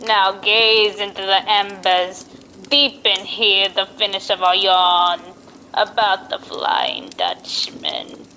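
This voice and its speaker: A woman's voice: medium pitch, lively intonation, takes it slowly, sharp tone, slight background noise, heavily acted, poor audio. A woman playing a sly, hundred-year-old witch who tells spooky tales in folksy rural dialect, in old-time radio style. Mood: eerie relish. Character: cackling ancient witch, rustic storyteller, aged over a hundred. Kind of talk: old-time radio horror host acting.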